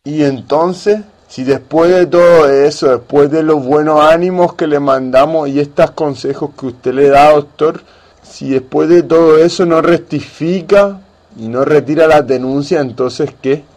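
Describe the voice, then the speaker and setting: A man's voice: fairly high pitch, measured pace, darkish tone. A man hosting a radio show in a conversational interview style.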